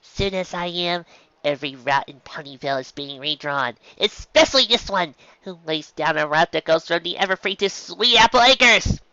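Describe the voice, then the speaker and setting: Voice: mid-range voice, expressive, normal speed, sharp tone, no echo, highs cut off, voice pushed up. A reader voicing a weary, caring female pegasus mail carrier in a storybook, with a dry, grumbling, questioning manner.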